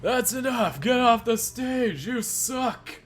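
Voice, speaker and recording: A man voicing an angry heckler jeering from an audience, shouting strained insults during a story reading. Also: very high voice, animated, takes it slowly, breathy edge, faint music behind, pitched above the performer's own voice, full character voice.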